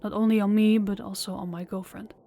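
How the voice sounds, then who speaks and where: A woman's voice: mid-range voice, flat delivery, a little fast, mellow, lightly acted. A woman voicing a polite, desperate young woman pleading with a crime boss in an audio roleplay, speaking formally and deferentially. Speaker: voice actor and channel host.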